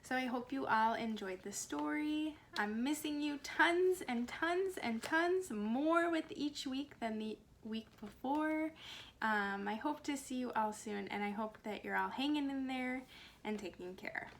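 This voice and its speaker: A woman speaks as a storyteller for small children. Her voice sits a little high and her delivery is very slow and deliberate, with expressive phrasing. There is slight background noise on the recording. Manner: slow, deliberate, animated phrasing with dramatic pauses. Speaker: speech pathologist and children's storyteller. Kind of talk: expressive read-aloud storytelling for young children.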